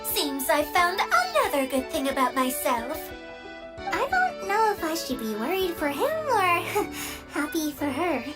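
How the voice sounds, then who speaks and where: An upbeat, encouraging girl in an anime game. Her voice is extremely high and swings with lively melody. She sounds self-assured and expressive over faint music. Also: a little slow. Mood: bright, pleased. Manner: cheerful, expressive, reassuring.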